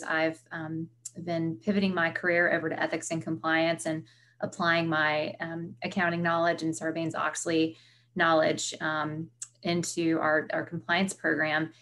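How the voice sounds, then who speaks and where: A woman's voice: low voice, a bit bright. A woman introducing herself as a panelist on a webinar, speaking hesitantly and repeating words.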